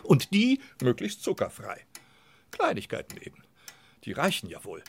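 A man's voice: mid-range voice, huge pitch swings, moderate pace, vocal fry, a little hiss or hum. A man playing a fussy, indignant old Saint Nicholas in a children's audio play, blustering and muttering pompously. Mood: scornful, smug. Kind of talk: children's audio drama acting.

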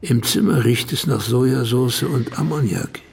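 An actor playing an older, vanished father as a haunting inner voice in a radio drama, speaking in terse, hushed interjections. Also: medium pitch, normal speed, a little hiss or hum, natural voice. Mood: tender, haunting. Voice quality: very gravelly. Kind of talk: intimate radio drama acting.

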